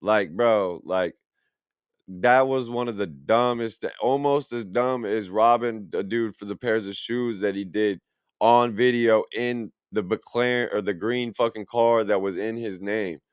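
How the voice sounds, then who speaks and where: Man, young, smooth clear tone, blunt profane ranting. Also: fairly low pitch, measured pace, no echo, clean studio sound. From Illinois, USA. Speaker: reaction streamer. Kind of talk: casual reaction commentary.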